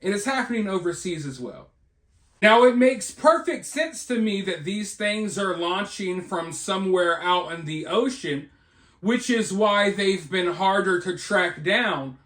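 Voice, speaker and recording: American male commentator, high voice, roomy echo, conversational delivery, rhetorical check-ins, informal news talk. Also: lively intonation, measured pace, fairly bright tone. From United States. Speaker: online video commentator and channel host. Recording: roomy, echoing voice recording.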